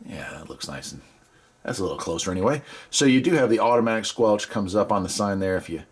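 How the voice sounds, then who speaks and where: A man's voice: fairly low pitch, lively intonation, fast talker, slightly rough. An American man giving a casual hands-on gadget review in a conversational, enthusiastic way with folksy asides. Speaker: product reviewer and video host.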